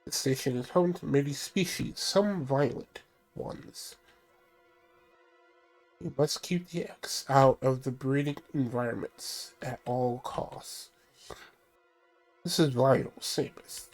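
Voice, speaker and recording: A man reading out a stern male ship computer giving mission orders, measured and plain, his voice stuffy from a cold. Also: medium pitch, soft background music, poor audio. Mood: briefing. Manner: reads briefing text aloud, measured.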